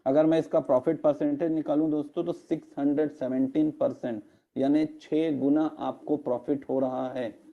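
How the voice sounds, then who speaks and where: A man with a hoarse throat presenting in an online meeting, explaining step by step and slipping English terms into his talk, with fillers. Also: on the high side, fairly even intonation, moderate pace, narrow, tinny audio. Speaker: network marketing presenter. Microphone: video call.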